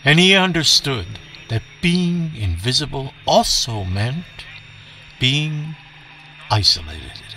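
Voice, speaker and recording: Man playing a slow, theatrical professor storyteller. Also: medium pitch, sing-song, sharp tone, recorded from a distance, slight background noise, full character voice. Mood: measured wonder. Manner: theatrical, unhurried, dramatic pauses. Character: eccentric professor storyteller reading to children over old radio. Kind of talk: dramatic children's storytelling.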